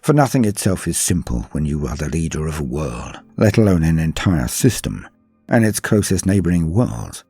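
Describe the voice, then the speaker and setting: A man acts out a resolute, world-weary noble governor in a dramatic sci-fi audio story. The voice is very low and husky, with formal, grandiose phrasing delivered in a measured way. Music plays underneath. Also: big pitch swings, dark tone, no echo, heavily acted. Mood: grave concern.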